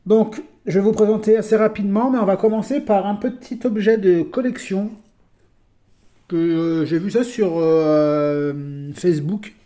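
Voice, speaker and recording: A man's voice: sits high, measured pace, dark tone. A man from France presenting his toy vehicle collection in a casual video, chatty and full of hesitations. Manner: chatty, hesitant with many fillers.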